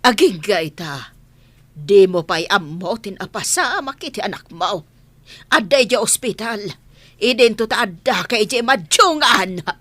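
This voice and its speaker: A woman's voice: medium pitch, animated, measured pace, fairly bright tone, dry recording. An actress playing a reproachful, worried grandmother in a radio drama, speaking emphatically. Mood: scolding. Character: scolding, worried grandmother of a sick child.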